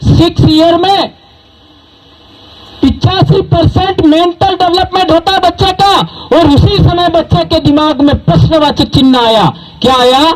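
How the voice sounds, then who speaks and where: High-voiced man giving a rousing speech. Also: fairly even intonation, a little slow, low-quality recording. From southern Rajasthan, India. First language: Bhili. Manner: emphatic, quizzes the crowd with rhetorical questions. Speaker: community speaker at a public gathering. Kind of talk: public speech to an audience. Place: stage at a public event.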